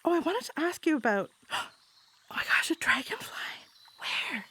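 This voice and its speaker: A woman plays an eleven-year-old girl in a stage monologue. She tells things in an informal, exasperated, childlike way and is quick to delight. The sound is dry and close. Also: sits high, big pitch swings, pushed and bright, no room sound, in a whisper, pitched above the performer's own voice, heavily acted. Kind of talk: theatrical monologue reading.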